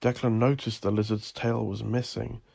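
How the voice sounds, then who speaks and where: A man narrating dark horror fiction in a deliberate, literary storytelling manner. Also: fairly low pitch, little pitch movement, vocal fry, slightly rough.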